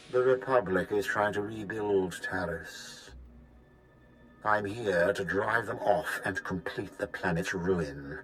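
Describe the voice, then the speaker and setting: Deep, imperious Sith lord for a game, ruthless and measured; very low register, faint score underneath. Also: male voice, a bit bright. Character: commanding Sith lord, ruthless male officer. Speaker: video game character. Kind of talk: game voice acting. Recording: game audio with music behind.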